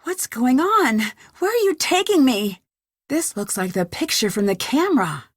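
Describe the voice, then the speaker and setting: An inquisitive young female detective talks to herself through a mystery game. Her voice sits fairly high and moves in very lively contours, earnest and level-headed. The recording is close and dry. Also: normal speed, no room sound. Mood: alarmed. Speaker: video game protagonist. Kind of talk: game voice lines, thinking aloud.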